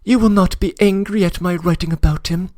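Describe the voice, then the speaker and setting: A man's voice: high-pitched, fairly even intonation, fairly quick, a little mellow, no echo, studio quality, voice pushed up, lightly acted. A man reading aloud, voicing a young woman's tearful, loving letter with an imploring manner. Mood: tender, earnest. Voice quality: emotional, imploring. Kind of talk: audiobook reading.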